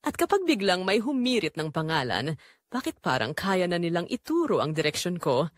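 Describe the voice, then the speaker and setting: A woman's voice: lowish voice, big pitch swings, normal speed, dry recording, studio quality. A woman narrating a dramatic first-person story in an emotive way.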